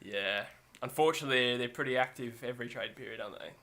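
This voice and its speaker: A man's voice: medium pitch, fast, vocal fry, sharp tone. A man co-hosting a sports podcast, talking in a rambling, self-correcting way and laughing easily. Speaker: podcast co-host. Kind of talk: casual sports chat.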